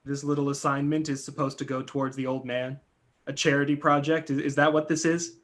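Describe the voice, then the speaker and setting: A man's voice: medium pitch, level tone, fairly quick, fairly bright tone, lightly acted. A man playing an embittered, stammering screenwriter in a play reading, wounded and defiant. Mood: bitter, stubborn. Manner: stammering, protesting.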